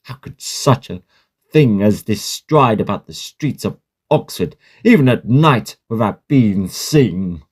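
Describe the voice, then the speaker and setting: A man playing a sceptical, concerned learned gentleman in a Victorian horror drama, with a measured, reasoning, formal manner. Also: fairly low pitch, lively intonation, husky, heavily acted.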